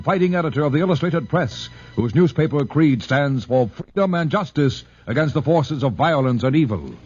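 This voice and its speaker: A man's voice: fairly high pitch, a little mellow. A man with an American accent announcing and narrating a 1940s radio drama in a dramatic style. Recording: muffled 1940s radio recording with music.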